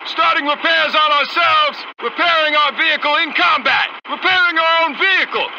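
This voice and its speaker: A man's voice: very high-pitched, moderate pace, lo-fi sound, background noise. An actor playing a dutiful, eager armored vehicle crewman, giving clipped military callouts for a video game. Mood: urgent, shouting. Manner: clipped, forceful military delivery.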